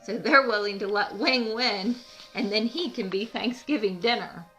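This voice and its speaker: A woman's voice: medium pitch, moderate pace, pushed and bright, faint music behind, rough recording. A woman narrating a children's storybook expressively as read-aloud host, with teacherly explanatory asides.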